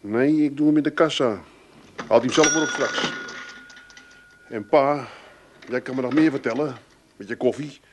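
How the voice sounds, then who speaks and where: An actor playing a cheeky young man with an Amsterdam accent in a radio play, talking in a playful, drawn-out way. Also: medium pitch, background noise, natural voice.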